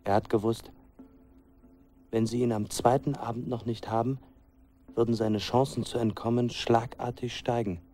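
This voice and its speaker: An actor playing a plain-spoken working villager recalling a fugitive in a radio drama, with reflective delivery. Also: male voice, medium pitch, fairly even intonation, normal speed, long pauses, mellow, soft background music, lightly acted. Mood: wry, reminiscing. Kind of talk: narration in a German radio drama.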